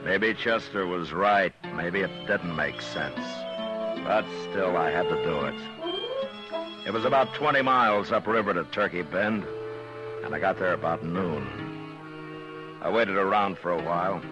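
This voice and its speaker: An actor playing a brave male frontier marshal who narrates his own story in a calm, laconic way on a 1950s radio western. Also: sits low, a little slow, muffled recording, over music. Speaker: narrator and lead.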